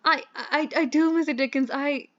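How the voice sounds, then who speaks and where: A woman's voice: high-pitched, steady tone, moderate pace, fairly bright tone, dull audio. A woman voicing an eager but sheepish young male filmmaker with groovy slang and a hesitant, rambling manner in a comic audio drama. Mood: nervous.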